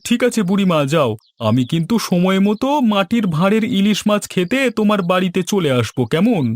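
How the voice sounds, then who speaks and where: High-voiced nosy village man acted out. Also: animated, fairly quick, no room sound. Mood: curious, eager. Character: nosy, friendly village man angling for food. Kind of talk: animated fairy-tale cartoon dialogue.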